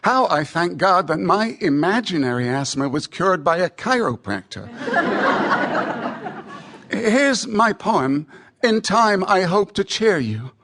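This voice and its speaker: A man's voice: high-pitched, very animated, slow pace, fairly bright tone, heavily acted. An actor playing a witty, admiring male poet reading his letters aloud in a chatty, wry, literary way for radio theatre. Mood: sympathetic.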